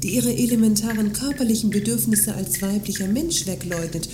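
An actress playing a condescending, talkative magazine journalist in a scripted radio play, speaking in long, pompous sentences. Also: female voice, medium pitch, a little fast, background noise, lightly acted. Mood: haughty reproach. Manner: pompous, wordy, haughty. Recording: music behind the dialogue.

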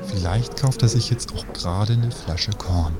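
A man's voice: fairly low pitch, unhurried, music in the background, natural voice. A man acting a skeptical companion in a satirical radio play, speaking in a dry, understated, ironic way. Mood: dry resignation. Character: dry, skeptical male companion of a snobbish woman.

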